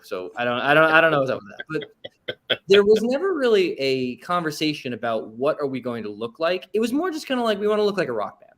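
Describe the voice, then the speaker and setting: Casual man with a mid-pitched voice. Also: a bit bright. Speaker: interview guest, rock musician. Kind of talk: casual conversational storytelling.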